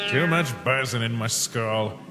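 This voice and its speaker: A man voicing a weary, guilt-ridden skeleton, a protective older brother, in an emotional comic dub with halting, sighing delivery. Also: mid-range voice, sing-song. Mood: weary, evasive. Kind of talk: dramatic comic dub acting. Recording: long reverb, music behind. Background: music.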